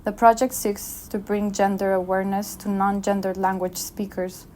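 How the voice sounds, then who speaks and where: Flat-voiced woman reading a script. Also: mid-range voice, moderate pace, a little hiss or hum. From Mexico. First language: Spanish. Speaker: architect presenting her work. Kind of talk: scripted narration.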